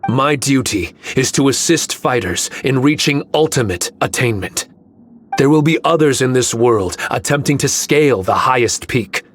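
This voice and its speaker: A man's voice: medium pitch, lively intonation, slight background noise, close to an everyday voice. A man voicing an ancient, loyal guardian spirit in a video game, speaking formally with solemn, wistful weight. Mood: resolute. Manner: solemn, formal, measured delivery. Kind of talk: dramatic video game dialogue.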